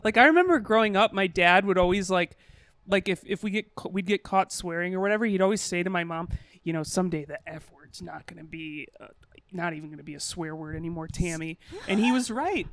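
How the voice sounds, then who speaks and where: A woman's voice: on the low side, a little fast, a bit bright. A woman with a Midwestern accent co-hosting a comedy podcast, rambling through stories with ums and restarts and laughing at her own jokes. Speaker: podcast co-host. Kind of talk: casual comedic chat.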